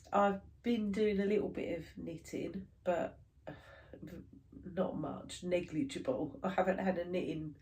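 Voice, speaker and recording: A woman's voice: fairly low pitch, a little mellow. A woman in her thirties, stuffed up with hay fever, chatting casually to camera about her stitching. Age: 30s. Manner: chatty, rambling, many fillers. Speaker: cross stitch vlogger.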